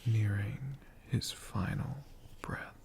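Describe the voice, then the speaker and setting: A storyteller whispering a tale for ASMR in a hushed, breathy voice with slow, dramatic pauses. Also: medium pitch, steady tone. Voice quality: breathy whisper with creaky edges.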